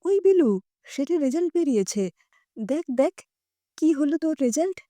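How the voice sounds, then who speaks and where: Story role: woman playing excited young male pal, casual and eager, high voice, soft mellow timbre. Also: moderate pace, no room sound. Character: excited young male childhood friend on the phone.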